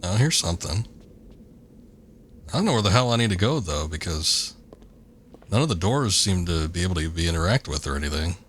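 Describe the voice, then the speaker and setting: A man with a gravelly voice giving rambling, joking commentary while streaming a game. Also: deep voice, animated, fairly quick, long pauses, a bit bright, slight background noise. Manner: rambling, laid-back, joking. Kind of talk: casual gameplay commentary.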